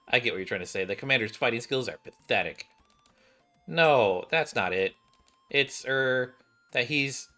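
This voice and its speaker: A man's voice: mid-range voice, pushed and bright. A man hosting a gaming video, commenting casually and reading dialogue aloud. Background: faint game music.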